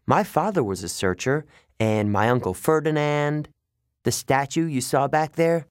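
A man voicing an earnest, idealistic young male satyr who sounds wistful and quick to take offense. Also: a little high, smooth, dry recording, studio quality, voice pushed up, lightly acted. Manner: wistful, indignant about pollution.

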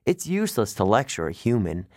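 A man voicing an earnest, idealistic young male satyr who sounds wistful and quick to take offense. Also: fairly low pitch, wildly swooping pitch, no echo, clean studio sound. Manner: wistful, indignant about pollution.

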